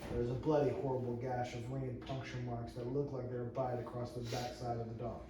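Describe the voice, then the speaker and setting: A man's voice: medium pitch, level tone, a little fast. Man narrating screenplay directions in an echoey room. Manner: plain, stumbles and rereads phrases. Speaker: narrator reading stage directions. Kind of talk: amateur table read of a screenplay. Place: indoor room with a few other readers. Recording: echoey room, distant mic, noisy.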